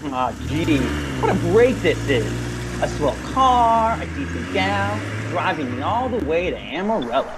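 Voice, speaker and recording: A woman's voice: lowish voice, sing-song, a little slow. A woman playing a breezy, grateful hitchhiker in an amateur radio play, with a folksy, slangy way of talking, over car noise. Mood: relieved, chatty. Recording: noisy, car engine sound. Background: car engine noise.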